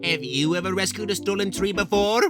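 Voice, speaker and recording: A man playing a touchy, self-important hero in an animated comedy, haughty and indignant. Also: high-pitched, fairly quick, music in the background, lightly acted.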